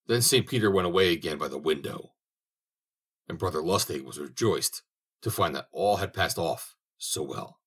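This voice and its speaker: A man narrating a fairy tale in a measured audiobook reading. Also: sits low, slightly rough. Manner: measured storytelling.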